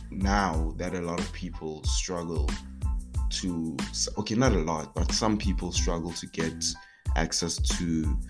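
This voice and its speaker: A young man with a low voice talks slowly and loosely, restarting his sentences often. He sounds a little sick as he hosts his own podcast. Soft music plays faintly behind him. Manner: rambling, relaxed, with many fillers and restarts. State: a bit sick. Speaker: podcast host. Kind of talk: casual solo faith podcast talk.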